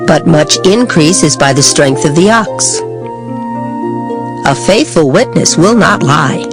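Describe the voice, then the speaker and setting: Scripture reader, mid pitch, very animated intonation, music playing underneath. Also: slow pace. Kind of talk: dramatized scripture reading. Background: background music.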